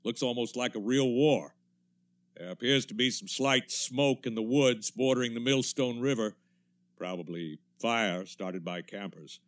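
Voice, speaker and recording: An actor playing a confident militia captain reporting from the field in a 1930s-style radio drama, in a clipped military manner. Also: male voice, mid-range voice, measured pace, natural voice. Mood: brisk confidence.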